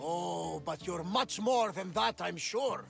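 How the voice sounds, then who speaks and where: A gravelly, god-fearing sea captain. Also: male voice, high voice, takes it slowly, a bit bright, soft background music, exaggerated voice. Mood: grateful. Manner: dramatic, invokes the gods, bursts into laughs. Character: weathered old sea captain man, pious and theatrical. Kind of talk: video game cutscene acting. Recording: game score under the voice.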